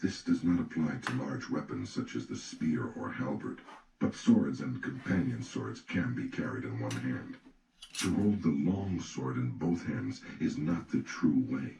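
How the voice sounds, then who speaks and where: A man narrates an audiobook in a measured, instructive way. His voice is extremely deep with a gravelly creak. The recording sounds distant from the mic, with noise behind it. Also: expressive. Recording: echoey, distant, noisy recording.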